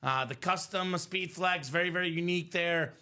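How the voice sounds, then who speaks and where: Man hosting a live card break, bright and a touch high, upbeat sales talk, voice slightly worn. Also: normal speed. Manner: upbeat, salesy running commentary. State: voice a bit worn from singing. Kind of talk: live stream selling chat.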